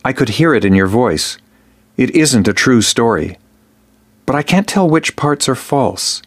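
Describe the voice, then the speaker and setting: A man voicing a watchful thirteen-year-old boy, calm and calculating, who speaks softly and steadily. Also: mid-range voice, measured pace, voice pushed up. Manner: soft, quiet and steady.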